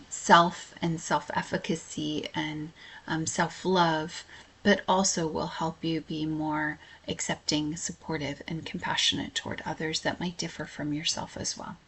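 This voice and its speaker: An American woman giving an informal lecture as a college instructor, speaking earnestly in a halting way with frequent pauses. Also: deep voice, fairly bright tone, slightly rough.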